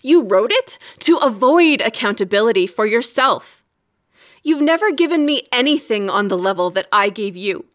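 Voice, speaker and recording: An actress playing a wronged, weary wife in an audio drama, speaking in a deliberate, accusing way, grave and resolute. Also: female voice, fairly high pitch, lively intonation, fairly bright tone. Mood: reproachful, weary.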